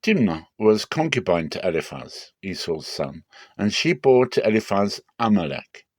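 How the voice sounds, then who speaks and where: Guy reading bible passages dramatically, slow careful phrases, really dry recording. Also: on the low side, very animated, husky, no echo. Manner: measured, deliberate phrasing with pauses. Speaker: Bible reader.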